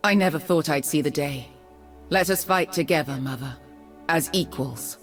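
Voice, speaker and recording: An actress playing a poised, regal noblewoman in a video game, speaking formally in cool, measured phrases. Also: sits low, recorded from a distance, faint music behind, lightly acted. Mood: solemn resolve. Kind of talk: video game character voice lines.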